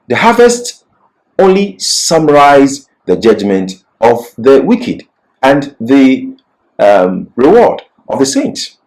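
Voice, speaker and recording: A male Bible teacher delivers a scripture lecture with a very animated, swooping intonation. His voice sits at a middle pitch but rises and falls sharply as he stresses points. He teaches emphatically, often putting questions and then answering them. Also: slow, rough recording.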